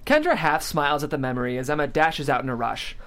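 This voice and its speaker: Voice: medium pitch, very animated, quick pace, fairly bright tone. A narrator reading stage directions aloud at a comedic script table read.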